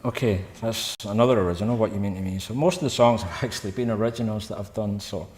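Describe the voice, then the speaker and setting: A man in his fifties with a Scottish accent from Paisley, chatting casually between songs at a concert and telling stories in a brisk, rambling way. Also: on the low side, steady tone, a little mellow. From Scotland. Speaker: singer-songwriter performing a concert. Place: church. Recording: long church reverb with guitar.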